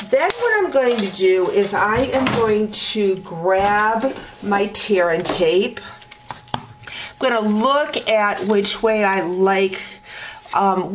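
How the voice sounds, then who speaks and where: A woman teaching card making step by step at her craft table, talking in a relaxed way with many ums and uhs. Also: medium pitch, a little hiss or hum. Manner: slow, relaxed talk with frequent ums and uhs.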